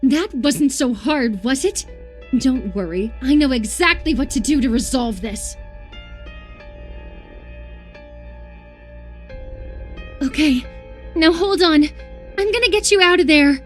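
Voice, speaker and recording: A woman's voice: sits high, expressive, normal speed, soft background music. A woman voice-acting an unhinged, obsessive lover in a horror visual novel, intense and volatile, swinging between tenderness and menacing fury. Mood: eerie calm. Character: unhinged, obsessive lover convinced an impostor replaced their beloved.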